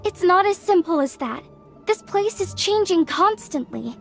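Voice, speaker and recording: A woman's voice: very high-pitched, expressive, a little slow, creaky, a bit bright, faint music behind, full character voice. A woman playing an earnest young woman in a fantasy video game, speaking articulately. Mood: concerned. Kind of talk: video game cutscene acting.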